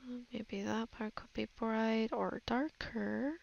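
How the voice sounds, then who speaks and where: A woman's voice: on the high side, steady tone, takes it slowly, gravelly, a little mellow, dull audio. A woman giving a casual voice-over tutorial on her art, thinking aloud in a musing, hesitant way as if half talking to herself. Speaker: digital artist giving a tutorial.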